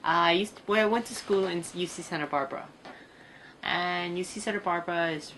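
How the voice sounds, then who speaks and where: Low-voiced young woman telling stories. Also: fairly quick, fairly bright tone, a little hiss or hum, rough recording. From United States. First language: Cantonese. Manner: easygoing, rambling storytelling. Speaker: interview guest. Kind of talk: casual chat. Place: small indoor room with computers.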